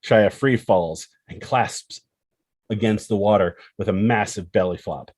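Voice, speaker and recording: A man's voice: on the low side, unhurried, darkish tone, no echo. A man narrating a screenplay, reading out scene headings and action descriptions as scripted storytelling.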